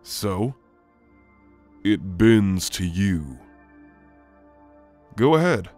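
A man playing a gentle shadowy sleep demon in an ASMR roleplay, speaking softly and haltingly to soothe the listener. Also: low voice, very animated, soft background music, full character voice. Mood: gently coaxing.

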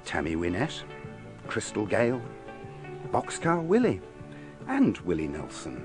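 A man's voice: on the low side, huge pitch swings, measured pace, over loud music. A man reading scripted links for a radio compilation in a wry, dryly ironic manner. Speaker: presenter of a radio bloopers compilation. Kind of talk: scripted linking narration.

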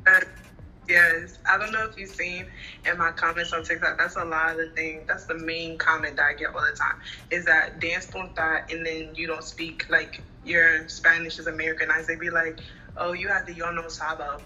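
A young woman talks into her phone from a small bedroom on a video call. Her voice is very bright and sharp, held to a narrow, flat pitch range. She chats casually and fast, dropping "like" all through her sentences, with faint music behind her. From Honduras, Garifuna. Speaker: guest. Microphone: phone at arm's length on video call.

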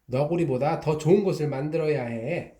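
A man's voice: moderate pace, mellow, close to an everyday voice. A jumpy fox shopkeeper, as a man puts him on. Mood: flustered. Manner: exclaiming, jumpy, shifts into high squeals. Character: fussy, excitable fox shopkeeper. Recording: echoey room.

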